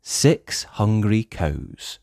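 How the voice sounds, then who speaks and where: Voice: medium pitch, very animated, drawn-out words, creaky, a little mellow. A host reading a counting picture book aloud to young children in a sing-song, exaggerated way.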